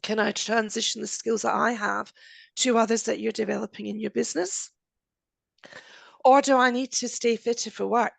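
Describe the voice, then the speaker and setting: An Australian woman giving a presentation in a croaky voice, posing quick rhetorical questions. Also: fairly high pitch, steady tone, dry recording. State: croaky, coughing.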